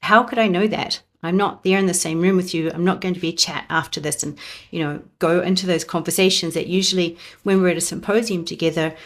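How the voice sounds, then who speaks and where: Low-pitched New woman presenting. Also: steady tone, fairly quick. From New Zealand. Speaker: conference presenter. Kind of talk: prepared academic talk. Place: presenting remotely over the internet. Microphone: close microphone.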